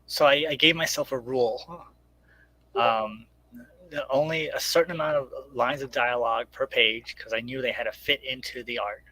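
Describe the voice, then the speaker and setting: A man's voice: medium pitch, normal speed, pushed and bright, low-quality recording. A man speaking casually as an interviewed writer, explaining step by step and acting out imagined remarks as he goes. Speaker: guest comic book writer.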